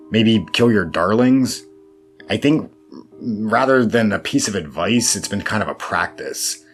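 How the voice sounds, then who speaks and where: Guy with a lowish voice doing thoughtful interview answers, bit wry and self-deprecating, music in the back. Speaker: interview guest, horror fiction writer. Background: background music.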